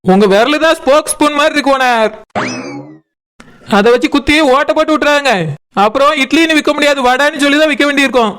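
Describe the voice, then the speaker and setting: A performer plays a chatty cartoon idli seller with a high voice and a Kanyakumari Tamil accent. The patter is boastful and repetitive, turning deferential and pleading with customers. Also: quick pace, fairly bright tone. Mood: eager, defensive. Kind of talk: comic cartoon dialogue.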